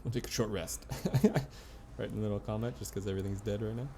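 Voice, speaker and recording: A man's voice: on the low side, fairly even intonation, fast talker, gravelly, dark tone, slight background noise. A man giving casual live commentary on a video game, muttering to himself with short exclamations.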